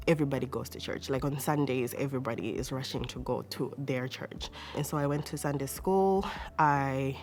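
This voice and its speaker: A woman's voice: extremely low pitch, darkish tone. A young woman with a Zimbabwean accent giving a personal testimony in a hesitant, reflective, conversational way. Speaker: interview guest. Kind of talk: personal testimony, casual storytelling.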